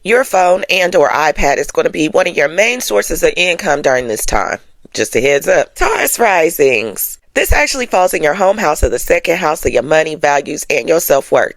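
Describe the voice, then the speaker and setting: American woman, slightly low pitch, very lively intonation, casual slangy astrology host, emphatic delivery, dry room sound. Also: moderate pace, no room sound. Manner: colloquial, slangy, emphatic, checks in with listeners. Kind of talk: casual astrology commentary to viewers.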